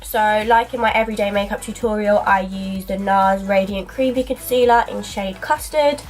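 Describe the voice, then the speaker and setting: A woman's voice: medium pitch, steady tone, normal speed. A young woman in her twenties with a stuffy cold, chatting casually through a makeup tutorial in a small dressing area, recorded by a camera in front of her. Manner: chatty, filler-heavy, talks while applying makeup. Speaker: beauty vlogger. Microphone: camera in front at arm's length.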